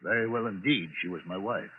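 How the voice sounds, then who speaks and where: A man's voice: lowish voice, a little fast, breathy edge, narrow, tinny audio. An actor playing a domineering middle-aged businessman in 1940s radio style, imperious and formal, haunted by his past. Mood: brusque, shaken. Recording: band-limited, noisy old radio recording.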